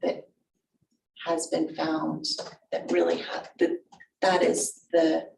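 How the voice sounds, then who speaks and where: A woman's voice: low voice, flat delivery, takes it slowly, vocal fry, a little mellow. A woman on a school board, rambling and trailing off as she talks through a document. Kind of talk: board meeting discussion. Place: large meeting room. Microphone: desk microphone from afar. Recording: echoey room, distant mic, faint hiss.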